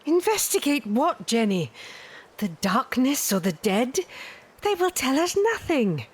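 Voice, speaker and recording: A woman playing an imperious, aloof reptilian lady detective of Victorian times in an audio drama, haughty, measured and commanding. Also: sits high, big pitch swings, husky, exaggerated voice. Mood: dismissive.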